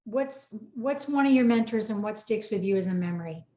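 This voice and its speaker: A woman's voice: mid-range voice, lively intonation, fairly quick, dark tone. A woman hosting a casual group chat, encouraging and affirming as she moves between guests. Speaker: host moderating a group chat. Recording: echoey room, muffled dull sound.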